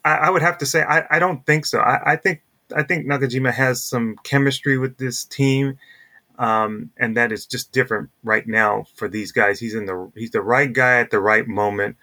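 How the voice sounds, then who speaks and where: A man with a mid-pitched voice talks through sports opinions in a casual discussion. He sounds like a podcast co-host, conversational and reasoning out loud as he goes. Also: fairly even intonation, normal speed.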